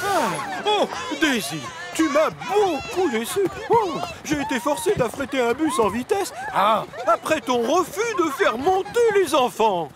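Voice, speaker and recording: A man's voice: extremely high pitch, very animated, a little slow, hardly any pauses, over music, heavily acted. A man voicing a pompous, flustered railway boss in a children's cartoon dub, blustering and sputtering. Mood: stern, flustered.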